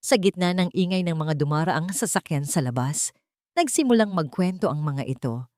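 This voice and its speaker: Low-voiced woman telling a dramatic story. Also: lively intonation, normal speed, a little mellow, dry recording, studio quality. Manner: dramatic, solemn. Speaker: narrator.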